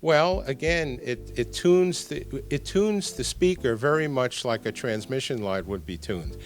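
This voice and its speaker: A man's voice: medium pitch, animated, unhurried, faint music behind. An older man being interviewed as a product designer, explaining technical details and repeating words for emphasis.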